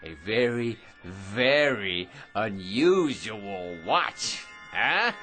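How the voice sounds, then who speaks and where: An actor in a radio drama playing a boring, tipsy barfly who rambles, stammers and chuckles to himself. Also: male voice, lowish voice, lively intonation, very slow, sharp tone, soft background music, exaggerated voice. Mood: smug wonder.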